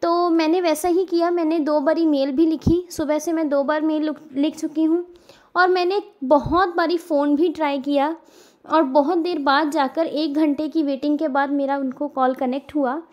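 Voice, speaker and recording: A woman with an Indian accent and a very high voice speaks directly to students like a coaching educator. She explains things informally but earnestly, stressing her points and going back over them so they are clear. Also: fairly even intonation, normal speed, darkish tone, lo-fi sound. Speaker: exam coaching educator giving an update to students. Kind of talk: informal explanatory update addressed to viewers.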